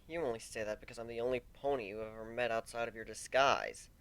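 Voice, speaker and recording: A man's voice: medium pitch, fairly bright tone, clean tone, no room sound, lightly acted. Man acting a timid, anguished young-hearted pegasus stallion. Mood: doubtful, subdued. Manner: halting, stammering, anguished delivery. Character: timid, self-loathing grieving male pegasus pony.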